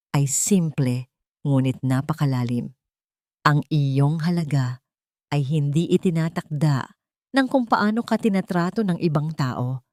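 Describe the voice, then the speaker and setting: Filipino lady reading a dramatic story, lowish voice, solemn n measured, really dry recording. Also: darkish tone, no room sound. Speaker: audiobook narrator. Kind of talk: scripted dramatic story narration.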